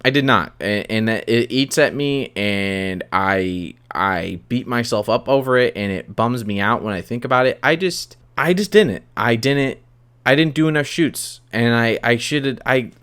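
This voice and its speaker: A young man hosting a solo podcast, talking candidly and confessionally in a halting, self-reflective way that often doubles back on phrases. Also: mid-range voice, fairly bright tone, clean tone. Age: young adult.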